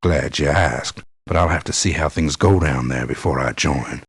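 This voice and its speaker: Video game mercenary, deep raspy male voice, blunt, cynical, slow delivery. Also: dry recording, clean studio sound. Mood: blunt, grumbling. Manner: blunt, curt, tough-talking. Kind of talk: video game character voice lines.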